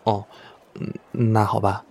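A man's voice: fairly low pitch, slow pace, creaky, heavily acted. A man acting an effusive, devoted young celebrity idol in a Chinese audio drama, boyishly clingy and gushing. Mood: eager, clingy. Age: young adult. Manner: gushing, pleading, swinging from excited to earnest.

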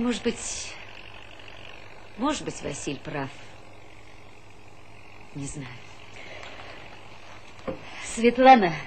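A schoolteacher mother of middle age, full of reproach. Her intonation rises and falls dramatically as she pleads. The old theatre recording is clearly noisy. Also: medium pitch. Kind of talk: Soviet stage comedy acting.

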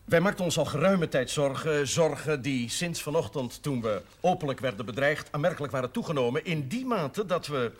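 Stiff, halting priest, fairly high-voiced. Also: male voice, animated, slightly rough. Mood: grave, formal. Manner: formal, halting, long-winded. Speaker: actor in a television series. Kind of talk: period television drama acting. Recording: noisy old TV soundtrack.

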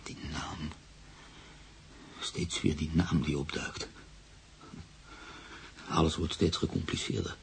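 An actor playing a brooding bachelor narrator in an intimate radio drama, halting and confiding, often dropping to a murmur. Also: male voice, a bass voice, level tone, gravelly, whispered, full character voice. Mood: reflective, troubled. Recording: noisy radio play recording.

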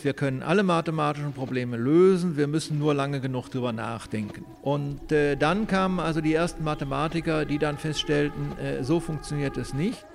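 Male computer science expert, highish creaky voice, hesitant unscripted explanation, faint noise. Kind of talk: spontaneous explanation in an interview.

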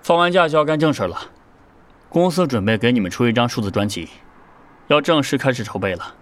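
A man's voice: mid-range voice, animated, a little fast, natural voice. An actor playing a businesslike boy-band manager in an audio drama, instructing in a matter-of-fact way.

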